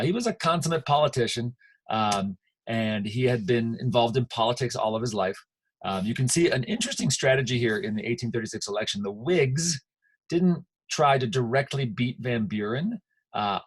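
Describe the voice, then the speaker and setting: A man's voice: mid-range voice, slightly rough. An American man giving an informal history lecture as a teacher, conversational and emphatic, checking in with his listeners.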